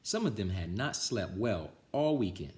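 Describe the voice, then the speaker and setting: A man with a fairly low voice reads a children's story aloud. His pitch swings very widely as he goes, and the reading is expressive, with the odd small stumble. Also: normal speed. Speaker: storytime reader. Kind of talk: children's picture book read-aloud.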